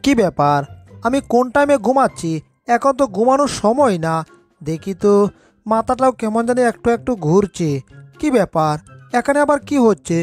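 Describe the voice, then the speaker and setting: A woman's voice: a little high, very animated, normal speed, darkish tone, faint music behind. A woman voicing a panicky, put-upon male cat in a cartoon dub, exclaiming and fretting to itself. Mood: drowsy, puzzled. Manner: exclaiming, fretful muttering to itself.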